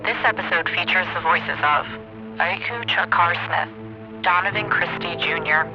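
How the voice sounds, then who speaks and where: High-voiced man reading credits over music. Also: normal speed. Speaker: credits announcer. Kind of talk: scripted credits narration. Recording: dull band-limited sound under music.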